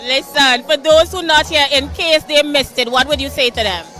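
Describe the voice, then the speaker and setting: Bright high Trinidadian woman reporter. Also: normal speed. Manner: lively, teasing, playful. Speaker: event reporter. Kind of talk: roving interviews at a party. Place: outdoor party. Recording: crowd chatter and music behind. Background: music and crowd.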